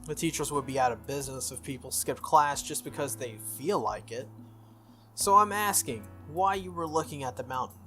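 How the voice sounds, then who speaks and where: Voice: medium pitch, normal speed, bright, forceful tone, faint music behind. A game player voicing an earnest, stern teenage boy who is student council president, formal and scolding. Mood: stern, matter-of-fact.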